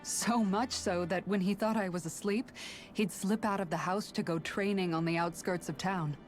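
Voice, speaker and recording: A woman voicing an earnest, idealistic young guardswoman in a video game, speaking sincerely and gently. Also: mid-range voice, fairly even intonation, normal speed, lightly acted. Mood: fond, earnest. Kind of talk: scripted video game dialogue. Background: game music.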